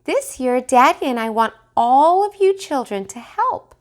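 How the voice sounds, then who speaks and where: A woman voicing a brisk, encouraging mother rabbit from a storybook, giving her brood clear instructions. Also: high-pitched, sing-song, pitched above the performer's own voice. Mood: cheerful, directing.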